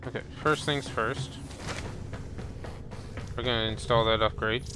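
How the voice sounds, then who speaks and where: Male streamer, mid pitch, unhurried, casual gameplay commentary, laid-back, soft game music in background. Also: steady tone. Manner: laid-back, muttering to himself, reading screen text.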